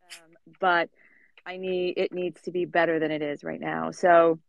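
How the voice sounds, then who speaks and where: Woman, gravelly voice, dry muffled sound, hesitant, video call. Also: fairly low pitch, flat delivery, unhurried, dry recording. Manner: hesitant, modest. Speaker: audiobook narrator guest. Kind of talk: casual chat.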